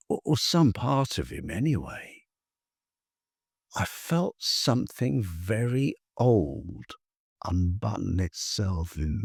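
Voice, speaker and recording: A man reading his own literary prose for a podcast, measured and deliberate, leaving long pauses between phrases. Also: on the low side, gravelly, breathy edge, no echo, studio quality.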